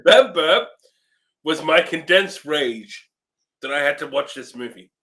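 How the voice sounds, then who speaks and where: A man's voice: fairly high pitch, animated, measured pace, a bit bright. A man co-hosting a podcast in casual, joking banter. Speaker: podcast co-host.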